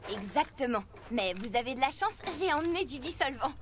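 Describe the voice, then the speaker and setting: A woman's voice: high-pitched, big pitch swings, brisk, background noise. A woman voicing an enthusiastic artist truck who teaches youngsters with exclamations in a children's cartoon. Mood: instructive, upbeat. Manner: instructive, exclamatory. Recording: music under the voice.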